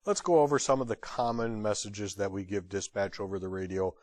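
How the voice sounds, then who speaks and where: A man giving an instructional lecture as a trainer, blunt and direct with wry humor and emphatic pauses on key phrases. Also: fairly low pitch, a little mellow, no room sound. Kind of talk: instructional lecture on radio procedure.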